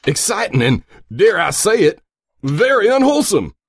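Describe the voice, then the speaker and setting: An actor playing a hammy, folksy dad in a parody retro radio advertisement. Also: male voice, high-pitched, sing-song, moderate pace, husky, no echo, clean studio sound, full character voice. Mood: hokey nostalgia. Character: corny, wholesome-sounding dad with pulp nostalgia.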